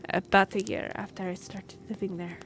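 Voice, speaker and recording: A woman's voice: medium pitch, little pitch movement, normal speed, close to an everyday voice. Woman acting earnest half-elf messenger, noisy ambience. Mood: wistful, reminiscing. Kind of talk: fantasy audio roleplay, one-sided conversation. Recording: noisy track with background ambience.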